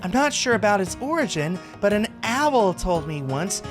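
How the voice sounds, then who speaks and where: A man voicing a cheerful, flirty female peddler from a visual novel, chatty and theatrical with a gushing, excited delivery. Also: high-pitched, normal speed, over music. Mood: excited sales patter. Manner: gushing, teasing, excited sing-song delivery. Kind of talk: visual novel character read-aloud.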